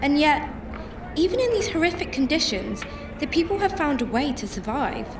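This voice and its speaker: High-voiced grieving mother played by an actress, emotional. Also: sing-song. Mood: reflective, wistful. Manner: halting, emotional delivery with broken sentences. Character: grieving mother searching homeless shelters for missing husband. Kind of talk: radio drama acting and first-person narration. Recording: music under the voice.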